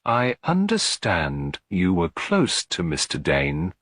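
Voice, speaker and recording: A kind lady detective probes gently and politely in a mystery story, as a male narrator plays her. The voice sits low with a slight husk and an expressive lilt, in a dry recording. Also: takes it slowly, dry recording, exaggerated voice. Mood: gentle, probing. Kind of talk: detective story character reading.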